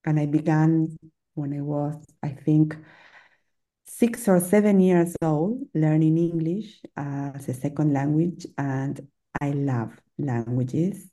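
A woman's voice: low-pitched, takes it slowly, dark tone. A middle-aged woman from Argentina with an Argentine Spanish accent, a native Spanish speaker, talking as a podcast guest in a hesitant, chatty way with frequent fillers and little laughs. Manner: hesitant, many fillers and restarts, laughs often. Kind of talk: casual interview chat.

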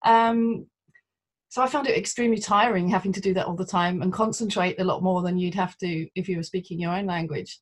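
A woman's voice: fairly low pitch, fairly even intonation, brisk. A middle-aged woman from London, a translator being interviewed, talking in a chatty way with frequent hesitations. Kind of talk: conversational interview.

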